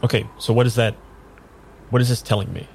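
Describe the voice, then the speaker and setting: An actor playing a nervous, hesitant amnesiac man in an improvised radio drama, talking in a halting, understated way. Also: medium pitch, fairly even intonation, gravelly, a little mellow, faint music behind, close to an everyday voice. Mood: uneasy, hesitant. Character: nervous, hesitant amnesiac man having his tarot read.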